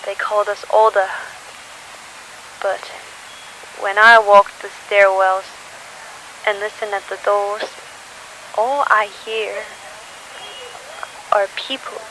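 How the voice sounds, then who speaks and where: A slow, bright-voiced woman playing a sorrowful teenage survivor, recording an audio diary for a post-apocalyptic drama. The delivery is halting and solemn, with little pitch movement, under a faint hiss. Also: fairly even intonation, low-quality recording. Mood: sorrowful, reflective. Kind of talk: scripted audio diary monologue. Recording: hissy recording with faint noise.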